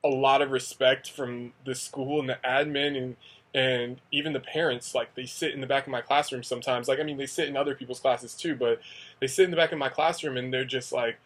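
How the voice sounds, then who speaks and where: A young man talks loosely straight to camera, a teacher sharing stories in a casual vlog. His pitch sits right in the middle of a man's range, and he rambles along full of "like" and "you know", circling back on himself. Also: steady tone, fairly quick, fairly bright tone, rough recording. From United States. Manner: rambling, conversational, many fillers. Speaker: English teacher in Asia. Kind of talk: casual talking-head vlog.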